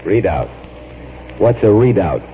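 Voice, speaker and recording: A man's voice: on the low side, big pitch swings, slow. An actor playing a reluctant, cynical newspaperman with a dry wisecracking manner, in 1940s radio style. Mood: puzzled, wry. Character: wry, reluctant young newspaperman, easygoing and cynical. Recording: dull, hissy 1940s radio recording.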